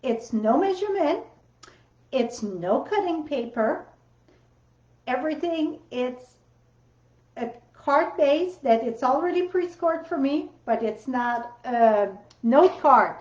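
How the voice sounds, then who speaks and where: Brief: woman host with a French accent, high and unhurried, chatty livestream demo, roomy home craft-room sound. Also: lively intonation, darkish tone. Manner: chatty, rambling, loose non-native grammar. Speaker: card-making livestream host. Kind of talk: casual live demo chat. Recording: roomy, slightly dull sound.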